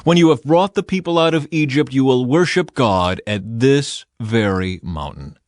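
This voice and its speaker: A man voicing a solemn, commanding God in an authoritative, measured scripture reading. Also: mid-range voice, expressive, no echo, studio quality, close to an everyday voice. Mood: resolute, compassionate. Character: God, solemn commanding male deity speaking from fire.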